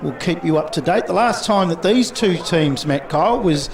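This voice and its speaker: Brisk Australian man calling football. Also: on the high side, expressive. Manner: brisk, fluent, reels off names and scores. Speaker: lead commentator. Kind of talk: sports commentary. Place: outdoor football ground. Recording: outdoor commentary with clear crowd.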